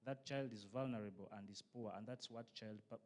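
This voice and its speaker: A man's voice: on the low side, fairly quick, darkish tone. A young man with a Ugandan accent, a journalism student answering questions in an interview. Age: young adult.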